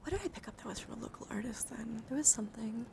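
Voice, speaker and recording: A woman chatting softly to livestream viewers in ASMR style, breathy and often whispering, with a gentle, soothing, intimate manner close to the mic. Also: a little high, fast, noisy background. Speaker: live streamer hosting ASMR. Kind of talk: ASMR, casual chat with viewers.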